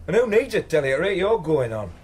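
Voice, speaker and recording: A Northern English working man who loves to rib his mates in a radio play. The voice is high and lively, the manner blunt and teasing. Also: fast. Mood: incredulous, teasing. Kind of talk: naturalistic radio drama dialogue.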